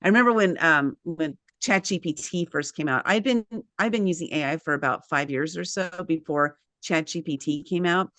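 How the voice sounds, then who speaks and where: Woman host, lower voice, dry close mic, chatty and animated. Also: normal speed, few pauses, no echo. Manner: animated storyteller, acts out quotes. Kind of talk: casual interview chat.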